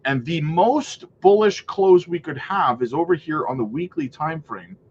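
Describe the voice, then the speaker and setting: A man's voice: on the high side, lively intonation, unhurried, muffled recording, slight background noise. A man with a stuffy cold hosting a live crypto stream, walking through price charts and greeting viewers in an upbeat way, often tagging "right?" and "man". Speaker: crypto livestream host. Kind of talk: live chart analysis and chat shout-outs.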